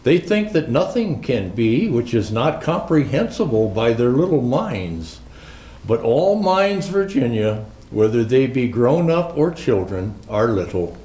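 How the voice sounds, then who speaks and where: A man's voice: medium pitch, big pitch swings, warm tone, voice pushed down. A man playing Santa Claus as a jolly old storyteller, reading Christmas classics to children in a theatrical, lilting manner. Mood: earnest. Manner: slow, theatrical, lilting recitation. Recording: roomy, echoing indoor sound.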